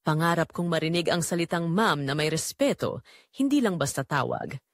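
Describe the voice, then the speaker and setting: Actress as sorrowful, long-suffering Filipina mum narrating a romance audio drama; confiding, very expressive melody, dry studio sound. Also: female voice, sits low, moderate pace, dry recording. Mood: wistful. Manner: wistful, emotive, addressing listeners directly. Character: weary, neglected middle-aged Filipina mother, quietly sorrowful. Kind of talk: first-person dramatic confessional storytelling.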